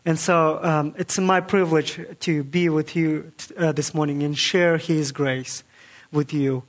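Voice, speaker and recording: A young man gives his church testimony, his voice a touch higher than most men's. He talks earnestly and haltingly, with many fillers and restarts as he searches for words. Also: steady tone. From Kazakhstan. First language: Kazakh. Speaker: guest speaker giving testimony.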